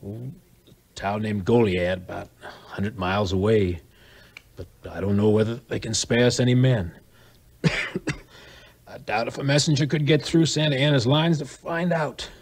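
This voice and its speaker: A man acting a grave, ailing American fort commander with a measured delivery in a 1950s audio play. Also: mid-range voice, fairly even intonation. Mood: grim, weary.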